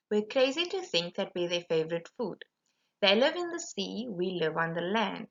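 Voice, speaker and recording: A woman reading a rhyming children's storybook aloud in an animated, emphatic way. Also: mid-range voice, lo-fi sound. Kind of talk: expressive rhyming storybook narration.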